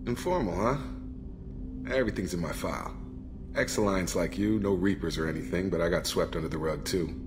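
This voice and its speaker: An actor playing a relaxed male ex-military officer in a video game, speaking in an easygoing, conversational way. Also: deep voice, brisk, lightly acted.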